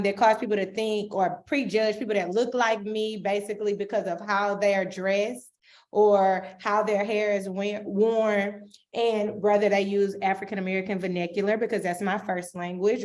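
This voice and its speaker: A woman's voice: medium pitch, fairly even intonation, normal speed, darkish tone. A woman doctoral student giving emphatic panel remarks, often tagging points with "right?". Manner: emphatic, rhetorical, repeats "right?".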